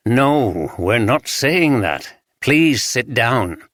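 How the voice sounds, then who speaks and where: A man voicing a calm senior detective who speaks gently and patiently. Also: medium pitch, no echo, clean studio sound, exaggerated voice. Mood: gentle. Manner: gentle, reassuring, measured.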